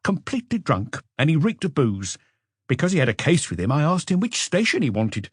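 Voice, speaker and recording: A man's voice: on the high side, big pitch swings, a little fast, slightly rough, no room sound, clean studio sound, pitched above the performer's own voice. A man voicing a plain-spoken male taxi driver who gives blunt, factual testimony in an audiobook.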